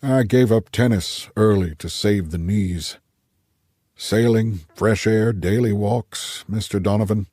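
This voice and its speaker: A man's voice: lowish voice, takes it slowly, warm tone, dry recording, studio quality. A man voicing a cheerful, talkative man of nearly ninety with a wry, genial manner, in an audiobook. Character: spry, cheerful old man near ninety, amateur painter.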